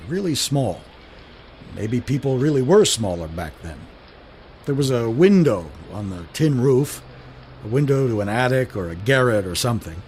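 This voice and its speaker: A man's voice: medium pitch, darkish tone, slight background noise, close to an everyday voice. An actor playing a wry, observant 1930s everyman who narrates a radio drama in colloquial, musing first person.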